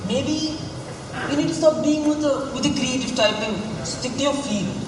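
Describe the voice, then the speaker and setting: A man's voice: extremely high pitch, moderate pace, background noise. An actor playing a cocky, flirtatious academic man with a teasing, insistent manner in a stage play. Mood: mocking. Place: stage in a reverberant hall. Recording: echoey hall, distant mic, background music.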